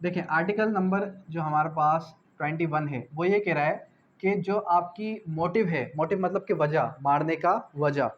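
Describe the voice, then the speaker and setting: A Pakistani man with a high-pitched voice delivers an exam-prep lecture. He explains didactically, repeating key phrases and answering his own questions, with English terms mixed in. The recording sounds dull and lo-fi. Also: normal speed, slight background noise. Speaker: law tutor.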